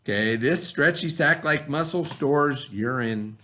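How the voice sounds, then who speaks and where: A man's voice: fairly high pitch, unhurried, sharp tone. A middle-aged man reading a lesson aloud to children as their teacher, with simple, instructive explanations.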